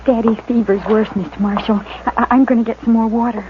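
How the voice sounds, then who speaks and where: Lady playing a scared young ranch girl, talks fast and high, stumbles on words, hissy old radio sound. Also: fairly even intonation, natural voice. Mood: anxious. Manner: rushed, stammering, anxious. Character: frightened young frontier daughter. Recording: dull 1950s radio broadcast, clear hiss, off-mic.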